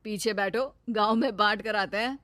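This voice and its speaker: A woman's voice: mid-range voice, moderate pace, a bit bright, dry recording, lightly acted. Cartoon young village husband, voiced by a woman, proud and hearty, close dry sound. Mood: cheerful.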